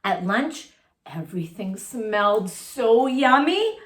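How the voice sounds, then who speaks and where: A woman's voice: fairly high pitch, big pitch swings, slow, echoey room. A woman reading a children's storybook aloud like a teacher, expressively, talking directly to her young listeners.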